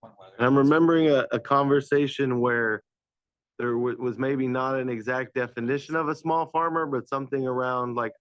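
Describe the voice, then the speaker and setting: A man's voice: mid-range voice, steady tone, normal speed, smooth, no room sound, muffled recording. A man on an advisory group asking tentative follow-up questions at a public meeting, with frequent fillers.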